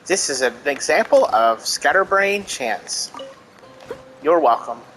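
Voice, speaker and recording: Bright-voiced man chatting through a game. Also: fairly high pitch, expressive, takes it slowly, poor audio. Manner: self-deprecating, chatty, mutters to himself. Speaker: let's play gamer. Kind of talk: casual gameplay commentary. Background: game music.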